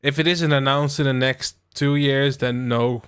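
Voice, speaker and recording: A young man from the Netherlands hosting a relaxed game livestream, rambling with lots of fillers and asides to his chat. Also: mid-range voice, measured pace, clean tone. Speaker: livestream host. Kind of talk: casual live-stream chat while playing a game.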